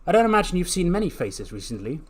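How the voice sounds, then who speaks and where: A man's voice: high voice, big pitch swings, fast, breathy edge, voice pushed up, heavily acted. A man voicing a cheeky female investigator in a story, with a chirpy, casual, inquisitive manner.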